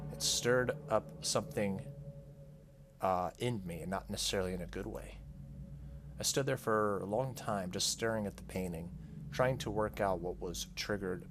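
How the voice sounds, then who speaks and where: Horror visual novel teen girl read by a man, low register, earnest and unsettled, conversational delivery. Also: normal speed, music in the background, lightly acted. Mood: uneasy, earnest. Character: frank, uneasy teenage girl telling a story.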